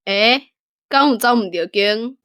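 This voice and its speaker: A woman's voice: fairly high pitch, measured pace, a bit bright, clean tone, dry recording, clean studio sound. A woman playing a hesitant young traveller with a Taiwanese accent in a radio drama. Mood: unsure, polite.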